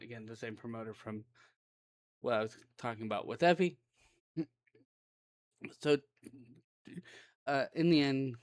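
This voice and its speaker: Raspy-voiced man rambling through a review. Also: medium pitch, fairly bright tone, no echo. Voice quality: raspy right now. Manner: rambling, conversational, self-correcting. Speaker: wrestling reviewer. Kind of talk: casual solo show review.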